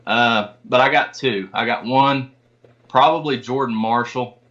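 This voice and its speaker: A man's voice: mid-range voice, a little slow, fairly bright tone, lo-fi sound. A man talking casually as an interview guest, with informal, folksy phrasing. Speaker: podcast guest, tournament kayak angler.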